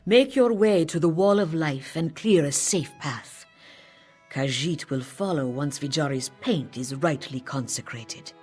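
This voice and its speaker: A woman's voice: deep voice, lively intonation, a little slow, a little mellow, breathy edge, faint music behind, heavily acted. A woman playing a solemn, devout cat-folk priestess in a fantasy game, speaking earnestly. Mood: solemn, urgent. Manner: speaks of herself in third person, earnest. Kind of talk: scripted fantasy game dialogue.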